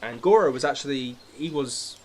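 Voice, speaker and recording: A man's voice: mid-range voice, animated, normal speed. A man talking casually about films as a guest on a podcast. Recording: noticeable background noise.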